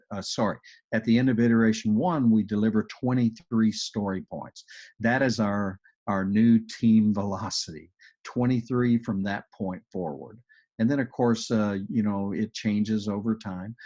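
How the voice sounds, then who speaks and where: A man with a Texas accent, an agile coach presenting in a webinar, talking in a relaxed, chatty way with many ums and restarts. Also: lowish voice. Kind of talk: webinar explanation and Q&A. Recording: dull, muffled web call audio.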